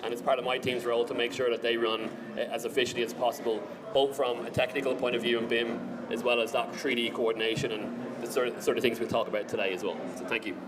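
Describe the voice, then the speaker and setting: A man with an Irish accent, from Ireland, introducing himself in a relaxed, chatty way as a conference speaker. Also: mid-range voice, quick pace, a crowd in the background. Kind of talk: conference talk.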